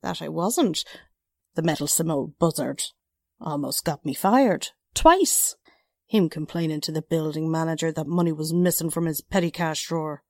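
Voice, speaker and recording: An actress as a defensive working-class woman in an old-time radio play. Her voice is on the low side and dry, with folksy, ungrammatical phrasing and a quickly riled temper. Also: darkish tone, no echo. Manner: folksy ungrammatical talk, indignant. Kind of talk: 1940s radio drama acting.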